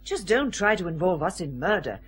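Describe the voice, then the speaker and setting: A woman's voice: fairly low pitch, sing-song, a little fast, faint music behind. A woman playing a defiant married murder suspect in a radio drama, sarcastic and dismissive under questioning. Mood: defensive. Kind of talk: radio detective drama acting.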